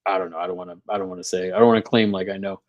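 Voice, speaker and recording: Man from texas, lowish voice, hesitant, lots of um and like, casual commentary chat. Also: fairly even intonation, racing, darkish tone, no room sound, muffled recording. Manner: hesitant, lots of fillers and restarts. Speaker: guest.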